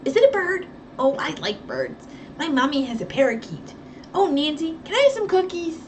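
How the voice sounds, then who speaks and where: A woman reading a storybook and voicing an eager, confident small boy in a high, childish voice. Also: big pitch swings, normal speed, a little mellow, slight background noise.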